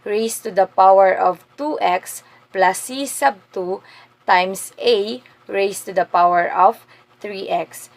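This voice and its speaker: A young woman presenting a math lesson as a student reporter, explaining slowly and deliberately. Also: medium pitch. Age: young adult.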